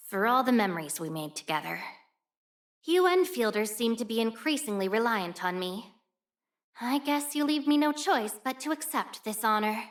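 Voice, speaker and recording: A woman voicing a proud, blunt young female fire warrior for a video game, with cool, cocky, nonchalant delivery. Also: a little high. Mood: aloof, proud. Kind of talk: scripted game character voice lines.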